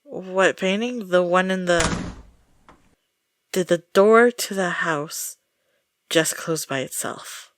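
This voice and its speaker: A woman playing an exasperated, jumpy friend caught up in a haunted-house outing, sarcastic and expressive, in an audio roleplay. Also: fairly low pitch, takes it slowly, long pauses, a little hiss or hum. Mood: angry, unnerved.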